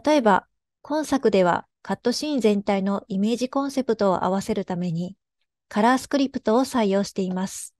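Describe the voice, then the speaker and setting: A woman's voice: mid-range voice, fairly quick, darkish tone, no room sound, studio quality. A woman giving a scripted presentation as a lead artist.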